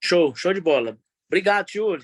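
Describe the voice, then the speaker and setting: A man's voice: high voice, animated, moderate pace. Upbeat man with a Goiano accent. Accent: Goiano Portuguese. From Goiás, Brazil. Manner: short, upbeat thanks. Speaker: student on a video call. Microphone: video call.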